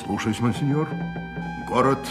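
A man's voice: medium pitch, moderate pace, loud music behind the voice, lightly acted. An actor playing a cardinal's deferential henchman, a count, respectful and guarded, in a radio play. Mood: wary, obedient.

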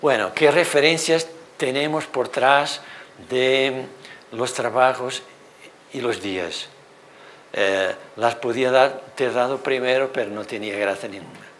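A middle-aged man with a Portuguese accent, speaking Spanish as a second language, gives an animated university seminar lecture in a hall on a lapel mic, with many fillers. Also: medium pitch, a little slow, a little hiss or hum. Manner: animated, gestures at projected slides, thinks aloud with many fillers. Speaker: literature professor.

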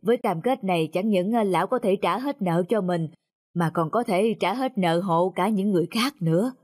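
A man playing a stern, wise elderly scholar in a cartoon folk tale, admonishing in a measured way. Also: sits high, a little mellow. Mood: sly, measured. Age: older.

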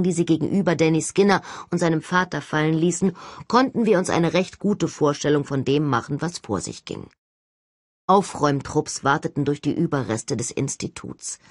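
A woman narrates a novel in the first person. Her voice sits low for a woman, and the sound is dry, with hardly any room in it. She reads as an audiobook narrator. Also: no echo.

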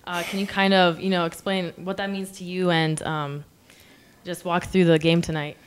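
A woman's voice: fairly low pitch, level tone, normal speed, slight background noise. An American woman interviewing players and a coach at a post-game press conference, asking polite questions with a few ums. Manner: polite, with um fillers.

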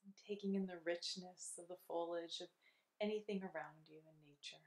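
Low, even-toned woman guiding meditation. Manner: soothing, unhurried, gently instructive. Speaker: meditation guide. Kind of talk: guided meditation.

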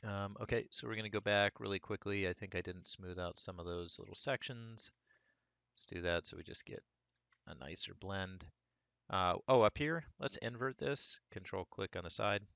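A man with a hoarse voice from a cold, talking through a sculpting tutorial step by step in a relaxed way. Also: lowish voice, moderate pace, dry recording, lo-fi sound. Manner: relaxed, talks through each step as he works. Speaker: 3D sculpting instructor. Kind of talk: screen-recorded software tutorial.

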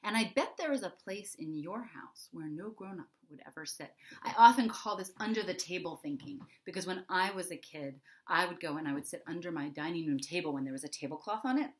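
A middle-aged woman with a slightly low voice talks to young children as an author would. Her delivery is expressive and conversational, and she leans on pauses for emphasis as she reads or explains. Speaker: children's author reading her book and giving a writing prompt. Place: small indoor home room.

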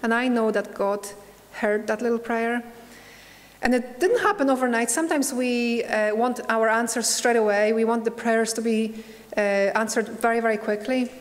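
A middle-aged Polish woman speaks at a church pulpit, her slightly high voice earnest and searching. She pauses and restarts as she tells her testimony. The hall around her rings with a long reverb. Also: steady tone. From Poland. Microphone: pulpit microphone.

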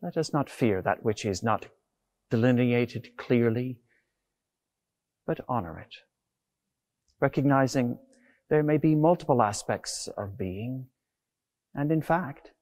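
A middle-aged man preaching a scripted sermon in a measured way, speaking into a lapel mic inside a church. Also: medium pitch, expressive, a little mellow. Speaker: preacher, Anglican clergyman. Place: inside a large stone church.

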